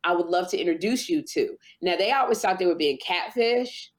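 A woman chatting casually in a group, telling long drawn-out stories. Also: fairly low pitch, animated. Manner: storytelling, drawn-out phrasing.

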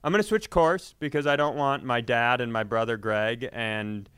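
A man's voice: medium pitch, normal speed, a bit bright, smooth, no room sound. A man in casual comedic chat, telling stories in a profane, exasperated way. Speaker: comedy podcast host.

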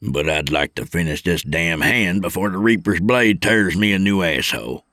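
A man's voice: low-pitched, animated, normal speed, fairly bright tone, no room sound, studio quality. A man voicing a crude, sardonic old trucker, in an audiobook story. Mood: grumpy. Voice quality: growling.

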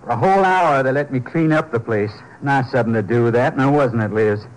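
Actor as a bitter ex-con, noisy old radio. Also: male voice, mid-range voice, fairly quick, natural voice. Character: bitter, down-on-his-luck ex-convict husband, short-tempered, anxious. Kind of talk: old-time radio drama acting. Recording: noisy vintage 1950s radio recording.

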